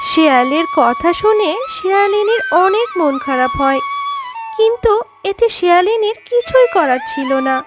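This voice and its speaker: A woman with a very high voice narrates a children's story. The delivery is scripted storytelling, with clear music playing behind her. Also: very animated, normal speed. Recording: background music under voice.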